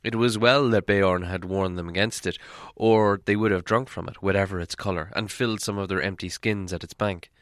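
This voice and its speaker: A man's voice: low-pitched, steady tone, fairly quick, fairly bright tone, no echo, clean studio sound. A man reading a fantasy adventure story aloud as scripted audiobook narration. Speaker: audiobook reader.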